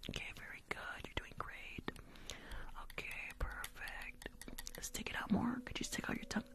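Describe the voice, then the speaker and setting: A young woman whispering breathily in an ASMR medical roleplay, giving soft, repetitive, casual instructions close to the mic. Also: moderate pace. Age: young adult. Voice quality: breathy whisper, mostly unvoiced. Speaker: ASMR streamer playing a doctor. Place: small room at home.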